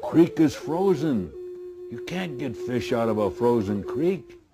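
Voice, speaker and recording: A man voicing a gullible, hungry trickster rabbit in an animated way for a folk tale. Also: medium pitch, takes it slowly. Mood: skeptical. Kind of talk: oral folk tale storytelling.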